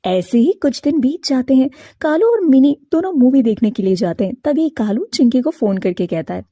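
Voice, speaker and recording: A woman narrating a scripted animated moral story as its storyteller. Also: high voice, normal speed, dry recording.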